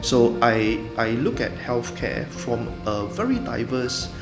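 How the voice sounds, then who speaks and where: A middle-aged man from Singapore, a doctor and company founder, giving measured interview answers with frequent pauses. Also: medium pitch, very animated. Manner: measured, pausing often between phrases. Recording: roomy room with background music.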